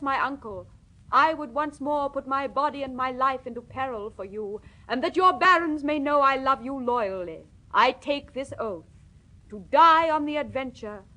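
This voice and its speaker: A woman's voice: high voice, bright, forceful tone, full character voice, low-quality recording. Woman playing a bold, loyal knight, impassioned. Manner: declamatory, solemn, impassioned. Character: bold, loyal young knight, nephew of a king.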